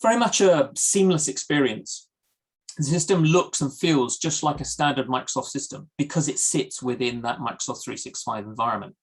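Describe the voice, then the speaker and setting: A man from the UK giving a product presentation in a webinar over a video call, steady, with frequent ums and uhs. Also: medium pitch, slightly rough. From United Kingdom. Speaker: guest presenter.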